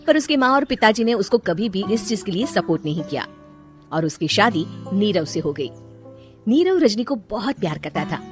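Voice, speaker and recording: Woman storyteller with animated intonation. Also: medium pitch. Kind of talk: scripted cartoon story narration.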